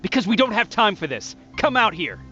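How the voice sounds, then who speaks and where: A man's voice: sits high, very animated, fairly quick, full character voice. A man playing a dutiful, earnest soldier of a human resistance in a dramatic video game. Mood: urgent, frustrated. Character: weary, dutiful male resistance soldier, young adult. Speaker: game protagonist and narrator. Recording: dull game audio under loud music.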